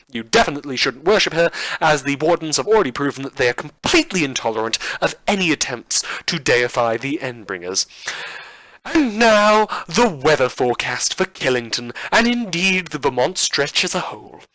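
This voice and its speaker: Casting: male performer as campy dystopian news anchor, bright and animated, higher-pitched, grand diction, deadpan over horrors. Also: no echo, poor audio. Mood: breezy, theatrical. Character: campy, theatrical dystopian radio newsreader with mock-cheerful gravity. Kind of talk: scripted parody news broadcast.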